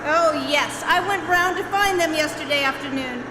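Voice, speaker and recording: A woman's voice: high voice, moderate pace, noisy background, close to an everyday voice. A woman playing a stubborn, sarcastic, indignant male homeowner in a live radio comedy, dry and exasperated. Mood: indignant sarcasm. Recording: echoey hall with music behind.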